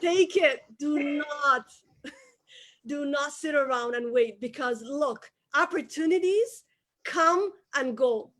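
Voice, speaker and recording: High-voiced woman. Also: takes it slowly, a bit bright. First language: Somali. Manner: emphatic, repeats key phrases for emphasis. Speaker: guest, business owner. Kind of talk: motivational interview talk.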